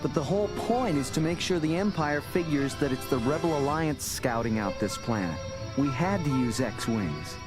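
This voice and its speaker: An actor playing a young, earnest rebel starfighter pilot in a space adventure audio drama, with a boyish, keen manner. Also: male voice, mid-range voice, lively intonation, moderate pace, over music, natural voice. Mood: eager, focused.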